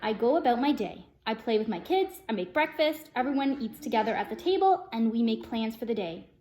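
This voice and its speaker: A woman's voice: on the high side, lively intonation, brisk, dark tone, slight background noise. A woman speaking as a self-help vlogger, chatty and earnest, addressing her viewers directly and sometimes reading from her own script. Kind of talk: upbeat personal vlog, partly reading from a script.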